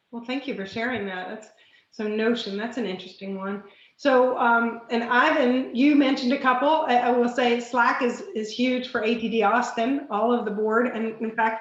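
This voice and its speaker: A woman hosting an online discussion group, going hoarse and thinking aloud with many fillers and restarts. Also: on the high side, echoey room, highs cut off. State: hoarse, losing her voice. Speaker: meeting facilitator.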